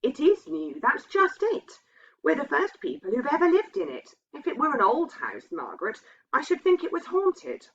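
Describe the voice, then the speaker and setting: A woman's voice: high-pitched, huge pitch swings, normal speed, voice pushed up. A woman voicing a troubled man in a ghost story, earnest and hesitant as he confides his dread. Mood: uneasy. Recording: dull, muffled lo-fi sound.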